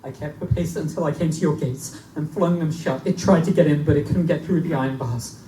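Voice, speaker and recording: A man playing a determined, haunted young English student in a live gothic horror drama, speaking with dramatic, deliberate intensity. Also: a little high, warm tone, far from the mic, natural voice. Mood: frightened urgency. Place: live performance in a bookstore. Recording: echoey, noisy live bookstore recording from afar.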